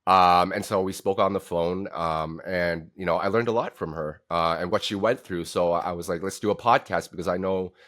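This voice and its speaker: A man's voice: low-pitched, moderate pace, hardly any pauses, a bit bright. A man hosting a casual podcast interview in an encouraging way. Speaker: podcast host.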